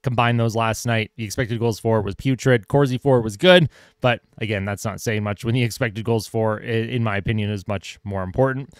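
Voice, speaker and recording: A man hosting a solo hockey podcast, talking casually and giving opinions, with lots of "uh"s and his voice going a bit hoarse. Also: on the low side, a little fast, dry recording, clean studio sound. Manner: conversational, filler-heavy, opinionated. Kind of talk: solo sports commentary podcast.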